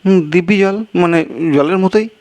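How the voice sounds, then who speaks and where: A man's voice: high voice, normal speed, darkish tone, no room sound, pitched above the performer's own voice, natural voice. A man voicing a weary, anxious, polite traveller in a storytelling piece, fretful, hesitant and plaintive. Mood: relieved, impatient. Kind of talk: acted storytelling dialogue.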